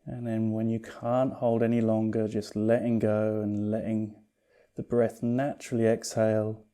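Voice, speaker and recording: Very mellow, even-toned man guiding meditation. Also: lowish voice. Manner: calm, soothing, unhurried, gently reassuring. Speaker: yoga nidra teacher. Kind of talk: guided meditation instruction.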